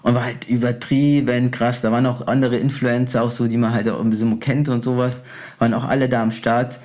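A man's voice: medium pitch, little pitch movement, brisk, a little mellow. A young man with a Brazilian background chats casually, telling anecdotes in a slangy, boastful, unfiltered way. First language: Portuguese. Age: young adult.

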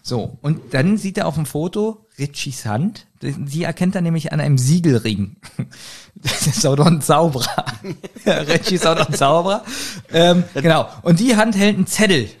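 A man's voice: on the high side, animated. A man with a slightly raised voice retells a story as a podcast co-host. He chats casually and sounds amused. He stumbles a little when reading out tricky phrases. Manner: amused, reads out clues haltingly. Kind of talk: casual chat retelling a kids' audio drama.

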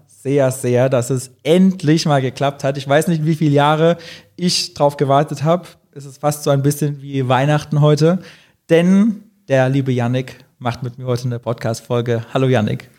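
A slightly hoarse man hosts a casual podcast chat. His voice sits a bit higher than most men's, and he talks in a friendly, chatty way, tagging questions onto the ends of his sentences. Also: fairly even intonation, measured pace, a little mellow. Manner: chatty, friendly, fillers like "gell" and "ne". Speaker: podcast host.

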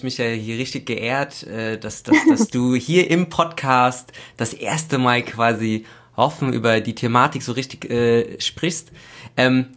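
A man hosting a podcast interview, his voice in a middle pitch. He talks casually and hesitantly, restarting phrases and filling gaps as he asks questions. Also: a bit bright. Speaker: podcast host.

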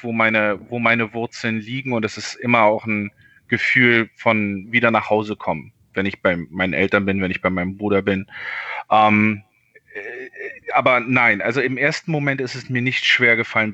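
A man's voice: mid-range voice, pushed and bright, no echo, dull audio. A man in his thirties from Berlin, a podcast guest on a video call, talking hesitantly with many fillers. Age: 30s. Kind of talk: casual interview.

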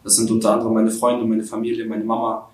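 A man's voice: on the low side, monotone, fast talker. Hesitant young man in a roomy room. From Ukraine. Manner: hesitant, many fillers and restarts. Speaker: guest, social media creator. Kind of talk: casual interview answers.

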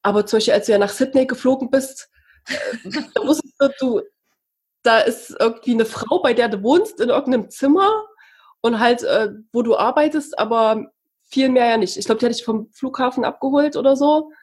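A woman from Saxony-Anhalt, Germany, hosting a casual conversation and talking in a warm, admiring, chatty way. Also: a little high, a little fast, breathy edge. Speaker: host.

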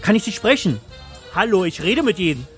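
A man's voice: high voice, sing-song, a little fast, a bit bright, soft background music, pitched above the performer's own voice. A man playing a dull, anxious bookkeeper in an audio story, earnest and insistent with a hesitant delivery. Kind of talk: first-person audio story.

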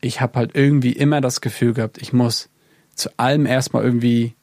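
A man's voice: medium pitch, steady tone, fairly quick, a little mellow, no echo, studio quality. A man from Germany talking reflectively in a casual podcast conversation, thinking aloud with many fillers. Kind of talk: casual conversation about worship music.

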